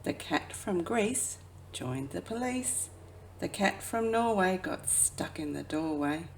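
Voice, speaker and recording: A woman who works as a children's educator reads a picture book aloud expressively, drawing out phrases and dropping into hushed asides. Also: lowish voice, expressive, slow pace, a little hiss or hum. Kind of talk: children's storybook read-aloud.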